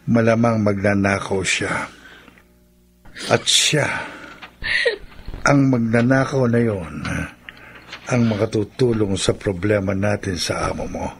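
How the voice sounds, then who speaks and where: A Filipino actor playing a cold-blooded, scheming killer in a radio drama, gruff, sneering and domineering. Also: male voice, lowish voice, slow pace, long pauses, faint music behind, full character voice. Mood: sly, plotting.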